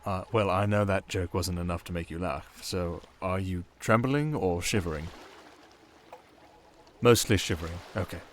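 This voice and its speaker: A man's voice: low voice, normal speed, slight background noise, close to an everyday voice. A British man playing a sardonic, restrained siren in an intimate ASMR roleplay, speaking in a wry, hesitant, confiding way. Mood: dryly teasing. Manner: wry, hesitant, confiding, addressing one listener. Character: sardonic, restrained, softly dangerous male siren sea creature. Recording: water and storm ambience behind voice. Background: running water and rain ambience.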